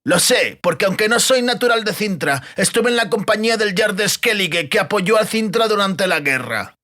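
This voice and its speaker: A man's voice: sits high, expressive, moderate pace, bright, forceful tone, voice pushed up. A man voicing an insistent male war veteran in a fantasy audiobook, emphatic and argumentative.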